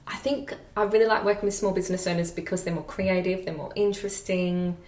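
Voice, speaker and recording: A woman's voice: fairly low pitch, steady tone, quick pace, a little mellow. Chatty woman, distant and roomy. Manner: chatty, self-deprecating, laughs easily. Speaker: podcast guest, social media marketer. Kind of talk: casual podcast interview. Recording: roomy sound, distant from the mic.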